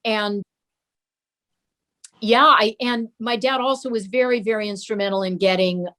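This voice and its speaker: Casting: older American woman, interview guest, mid-range voice, reflective storyteller who pauses and repeats for emphasis. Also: medium pitch, steady tone, a bit bright. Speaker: interview guest, daughter of a radio and TV performer. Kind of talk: conversational reminiscence in an interview.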